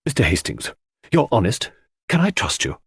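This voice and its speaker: A man's voice: medium pitch, huge pitch swings, moderate pace, gravelly, husky, no room sound, clean studio sound, heavily acted. A man voicing a blunt, fiercely loyal older woman in an audiobook, giving urgent warnings in short, emphatic phrases. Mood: urgent, protective. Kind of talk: audiobook character dialogue.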